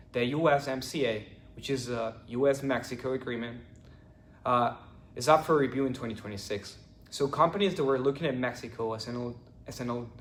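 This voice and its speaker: A young man from Ecuador, a finance student, giving a prepared class presentation at a steady pace with the odd stumble. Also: mid-range voice, echoey room, a little hiss or hum. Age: young adult.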